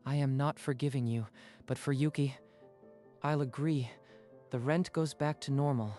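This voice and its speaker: A man plays a remorseful dad from a manga romance drama, speaking in a mid-pitched voice. He is earnest and pleading, with music playing behind him. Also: fairly even intonation, moderate pace, warm tone, close to an everyday voice. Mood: grudging. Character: remorseful young father, earnest, pleading with the woman he loves. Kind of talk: dramatic manga dub acting.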